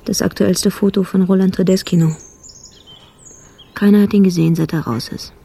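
Mellow, weary female investigator. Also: mid-range voice, fairly even intonation, normal speed, gravelly, husky. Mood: weary, desperate. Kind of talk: radio drama monologue and dialogue.

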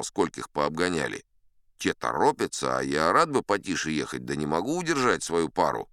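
An actor playing an old sea captain who spins colourful, self-assured tall tales in a wry, theatrical audiobook storytelling style, smooth and resonant. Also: male voice, deep voice, a bit bright, dry recording, studio quality, full character voice. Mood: dramatic, urgent. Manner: wry, unhurried raconteur with dramatic emphasis. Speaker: narrator.

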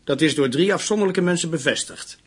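An earnest junior detective in a radio drama. His voice sits a little high and has a husky grain. He reports dutifully and precisely to his superior. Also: fairly quick. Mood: earnest, reporting. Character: dutiful male police assistant, earnest and precise.

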